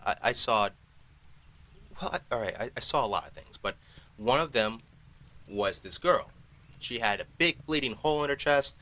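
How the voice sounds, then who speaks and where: An awkward, bright-voiced teenage boy. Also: fairly low pitch, low-quality recording. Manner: halting, flustered, casual. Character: awkward, eager teenage boy, a modern high schooler. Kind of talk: fantasy audio drama acting.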